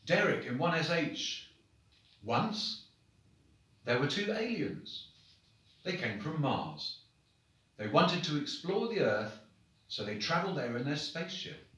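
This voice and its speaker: A man, a headmaster, reading short stories aloud to children in an expressive, deliberate, dramatic storybook manner. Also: a little high. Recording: very echoey room, distant microphone.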